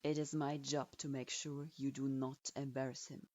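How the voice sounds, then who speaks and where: A woman's voice: a bass voice, little pitch movement, normal speed, a little mellow, dull audio, pitched below the performer's own voice. A woman voicing a stern adult male ninja instructor who speaks in curt, cold commands.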